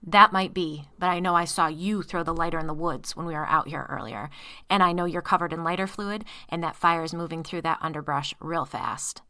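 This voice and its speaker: A woman's voice: lowish voice, fairly quick, sharp tone. A woman reading a blunt, commanding neighbor man in an audiobook, firm and demanding. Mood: stern.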